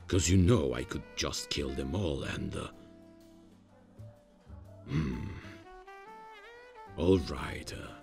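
ASMR roleplay demon played by a man: male, extremely low and creaky, proud, grumpy, hesitant, with deadpan menace. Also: slow pace, pauses often, music in the background, exaggerated voice. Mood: curious, deadpan.

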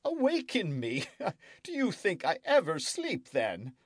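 A man's voice: high-pitched, wildly swooping pitch, slow, no echo, pitched above the performer's own voice, full character voice. Guy putting on a whiny suspicious king voice, jumps all over, dry. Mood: wry. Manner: querulous, demanding, theatrical. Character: petulant suspicious young French king. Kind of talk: audiobook character voice.

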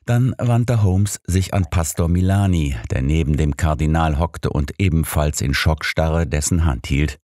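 A man's voice: rumbling low, unhurried, hardly any pauses, a little mellow, no echo, studio quality. A man reading a scripted first-person story as an audiobook narrator.

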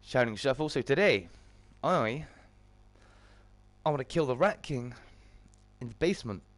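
A British man hosts a casual livestream in a mid-range voice with a slight morning croak. He talks to his viewers like mates, with chummy greetings and informal, off-the-cuff chat. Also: mid-range voice, animated, a little slow, no room sound. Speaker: live streamer and host.

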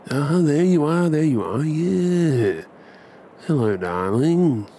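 An Australian man performing an ASMR bedtime roleplay as an affectionate, cheeky young husband and dad, coaxing and hushed. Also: on the high side, lively intonation, slow, noisy background. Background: ambient sound effects.

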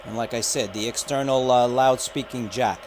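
A man walking through a radio unboxing, talking unhurriedly with frequent filler pauses, recorded indoors at a table on the camera's mic. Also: mid-range voice, steady tone, a little hiss or hum. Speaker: radio reviewer. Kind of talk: unboxing walkthrough.

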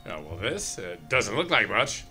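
Man playing sharp-voiced friendly cop. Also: lowish voice, big pitch swings, moderate pace, faint music behind, full character voice. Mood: gruff concern. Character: friendly plainspoken male police detective. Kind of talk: old-time radio drama acting.

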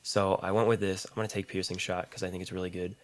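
A young man with a deep voice and a slightly rough throat, chatting casually through gameplay commentary into a condenser mic, rambling and self-deprecating, with audible mouth clicks. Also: flat delivery, fast talker. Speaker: gaming YouTuber. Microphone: large condenser studio mic.

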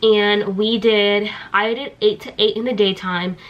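A young woman chatting casually to camera in a small dorm room, animated and quick with asides. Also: mid-range voice, muffled recording. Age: young adult. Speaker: vlogger. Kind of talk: casual vlog chat to camera. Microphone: handheld camera close up.

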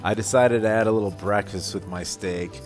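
A man's voice: fairly low pitch, steady tone, a little fast, soft background music. A man in his forties giving a casual food review as a vlog co-host.

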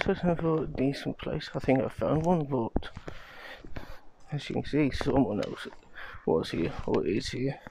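A man in his thirties talking to a handheld phone camera outdoors in a pine forest, in a slow, muttering casual vlog and swearing under his breath. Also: mid-range voice, gravelly, darkish tone, slight background noise, low-quality recording. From Scotland. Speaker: vlogger.

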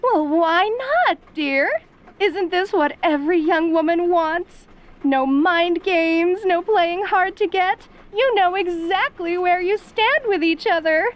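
A woman's voice: very high voice, slow. A woman playing a perky, doting yandere mother in an audio roleplay, with sugary, theatrical, genteel speech. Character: perky, doting, middle-aged yandere mother, teasingly clingy.

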